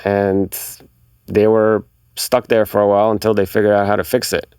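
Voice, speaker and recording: Low-voiced man telling stories, close and dry. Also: level tone, normal speed, a little mellow, clean tone, dry recording. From Bulgaria. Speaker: podcast guest, car enthusiast. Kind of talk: casual interview storytelling.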